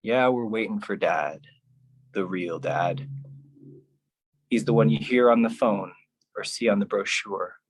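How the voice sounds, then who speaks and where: A performer delivering a theatrical spoken-word poetry monologue in a dramatic, deliberate, heavily emphatic manner. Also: low voice, noisy background.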